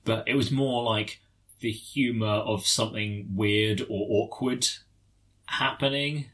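An English man with a very low voice discusses a film he has watched. He talks in a thoughtful, hesitant way, pausing and restarting as he gathers his thoughts. His tone has a bright, forward quality. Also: steady tone. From England. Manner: thoughtful, hesitant, trails off mid-sentence.

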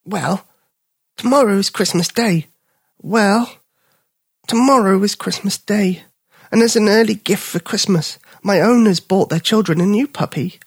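A storyteller voicing a small old male dog who is polite, gentle and sad. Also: medium pitch, unhurried, husky, no room sound, clean studio sound, pitched above the performer's own voice. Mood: wistful. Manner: polite, wistful.

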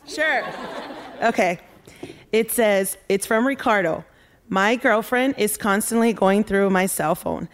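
Slow-paced woman reading aloud. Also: medium pitch, a little hiss or hum. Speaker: audience member reading a submitted question. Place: theater with live audience.

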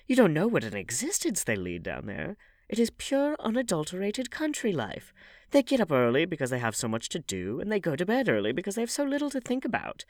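Male reader as elderly society hostess for a classic novel; high, very animated, exclamatory and gossipy. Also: fairly quick, dry recording, clean studio sound, exaggerated voice. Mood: gossipy, amused. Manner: theatrical, exclamatory. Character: lively old aristocratic lady, gossipy, scolding hostess.

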